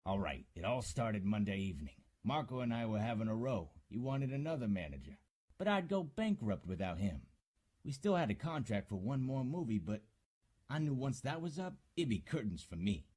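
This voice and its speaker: Male actor as scheming 1940s talent manager, swooping intonation, slightly raspy, shifty, desperate. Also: mid-range voice, big pitch swings, normal speed, a little mellow. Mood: confessing. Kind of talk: 1940s radio drama acting.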